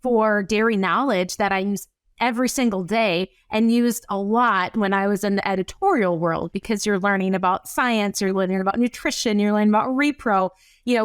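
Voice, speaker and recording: A woman's voice: mid-range voice, clear, resonant tone, no room sound, clean studio sound. A middle-aged woman from northern Wisconsin, with that accent, speaking as an interview guest. She talks in a chatty, enthusiastic way with long runs of words and filler "uh"s. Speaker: podcast guest, dairy coach. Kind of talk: casual interview chat.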